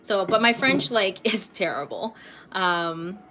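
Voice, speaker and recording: A woman in her late twenties from Canada, chatting casually with viewers while painting on a livestream, rambling a little and filling pauses with ums. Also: medium pitch, animated, bright, forceful tone. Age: late 20s. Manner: chatty, rambling, laughs often, many ums. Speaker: art livestream host.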